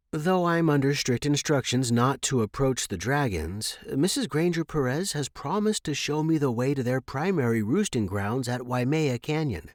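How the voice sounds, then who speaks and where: A man narrating a story as an earnest young Victorian gentleman biologist, reading his journal in a measured, formal way. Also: medium pitch, no echo, studio quality.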